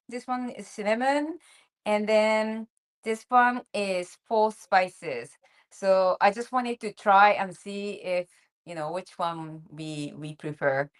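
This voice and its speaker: A woman from Japan chatting on a video call, hesitant and restarting her sentences. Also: medium pitch. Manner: hesitant, restarts, laughs softly. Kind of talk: casual video call chat.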